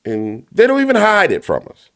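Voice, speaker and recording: Loud, preachy man ranting on a show. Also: high-pitched, huge pitch swings, normal speed. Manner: emphatic, repetitive, preacher-like cadence; loud, big voice. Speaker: commentary show host. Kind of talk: fiery commentary rant.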